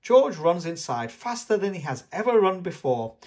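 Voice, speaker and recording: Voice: mid-range voice, big pitch swings, moderate pace, a little mellow. An adult host reading a children's storybook aloud expressively, speaking directly to young children. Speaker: children's book reader and channel host. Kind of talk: scripted children's storybook narration.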